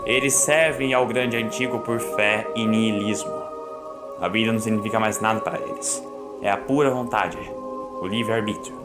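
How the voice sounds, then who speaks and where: A man voicing an imperious, defiant male god of dreams in solemn, archaic declamation for a dramatic audiobook, with loud music behind him. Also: on the low side, steady tone, normal speed, lightly acted. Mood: cold, disdainful.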